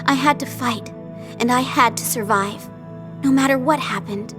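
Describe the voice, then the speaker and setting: A woman's voice: high voice, normal speed, natural voice. An actress as an earnest young woman medic. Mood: resolute. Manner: earnest, resolute narration. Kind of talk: game cutscene voice acting. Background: music.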